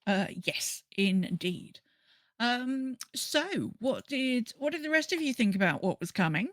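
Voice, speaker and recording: A woman's voice: fairly high pitch, very animated, a little slow, a bit bright, no echo, studio quality. A woman chatting casually as co-host of a gaming podcast.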